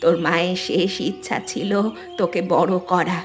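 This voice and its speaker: A woman's voice: fairly low pitch, steady tone, moderate pace, lightly acted. A woman playing a resigned, bitter old village grandmother in a melodramatic cartoon, speaking in a grave, consoling way. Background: loud music.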